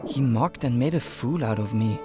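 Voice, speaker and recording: Easygoing young male trainer, anime dub woman performing: boyish, very low for a female voice, music beneath. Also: normal speed. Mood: playful. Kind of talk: anime-style manga dub acting. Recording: music under the voice. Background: background music.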